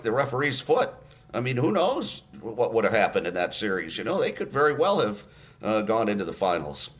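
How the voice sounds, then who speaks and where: An older man, a radio play-by-play announcer, telling stories in a relaxed interview with many fillers and restarts. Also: medium pitch.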